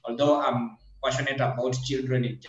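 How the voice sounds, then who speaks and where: A man with a Kenyan accent speaking haltingly as a charity leader on an online panel. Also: medium pitch, little pitch movement. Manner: halting, pausing mid-sentence. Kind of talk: introductory talk on a video call. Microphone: video call. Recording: echoey, noisy, muffled internet connection.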